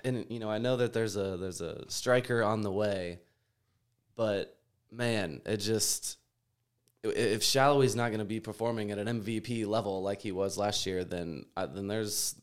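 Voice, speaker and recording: A man hosting a soccer podcast in casual, unscripted group discussion, often thinking aloud in a halting way. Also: lowish voice, clean tone. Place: in-person group podcast setup. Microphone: podcast microphone.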